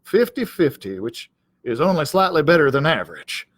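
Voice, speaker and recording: A man's voice: fairly high pitch, big pitch swings, normal speed, fairly bright tone. Man acting out a blustering, vain cowdog, swooping. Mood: flustered, hesitant. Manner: blustering, hesitant, stammering, pompous. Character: vain, blustering, gullible male cowdog, self-important. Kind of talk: children's storybook character voice.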